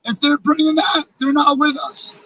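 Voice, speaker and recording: A hoarse man losing his voice, talking casually and earnestly to his live-stream chat, rambling with fillers and restarts. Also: very high-pitched, little pitch movement, moderate pace, pushed and bright, distant mic, low-quality recording. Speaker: live streamer with a truck convoy. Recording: off-mic with faint background noise.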